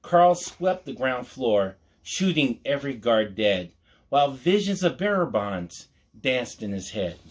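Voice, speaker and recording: Slow, animated man reading a storybook aloud. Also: medium pitch. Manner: measured, mock-earnest children's storyteller. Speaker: storytime host reading a picture book aloud. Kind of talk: storybook read-aloud with asides to the listener.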